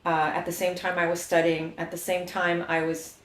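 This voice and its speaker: A middle-aged woman from New York, telling her life story in a lively way as an interview guest, acting out quotes and breaking into song. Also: lowish voice, brisk, echoey room, a little hiss or hum. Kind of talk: casual interview chat.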